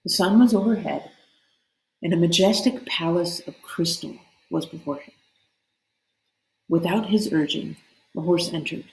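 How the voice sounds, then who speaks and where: Low-pitched woman storyteller in echoey room. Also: measured pace, creaky, long pauses, darkish tone. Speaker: read-aloud storyteller. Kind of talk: expressive storybook narration.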